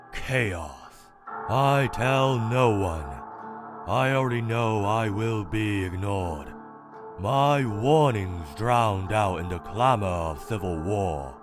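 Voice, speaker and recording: A man voicing a cold, calculating, one-eyed male robot scientist in a comic dub, speaking in a measured, clinical, detached way. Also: lowish voice. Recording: dramatic music underneath.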